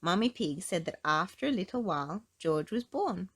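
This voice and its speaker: A woman reading a storybook aloud to children in an expressive narrating style. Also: lowish voice, moderate pace, husky. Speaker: storybook reader.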